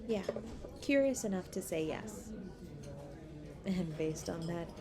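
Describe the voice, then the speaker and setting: Slow-talking, coy young woman on a date, acted. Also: on the low side, lively intonation, music in the background. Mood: coy, teasing. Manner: teasing, coy, giggly, hesitant pauses. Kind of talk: romantic roleplay audio acting.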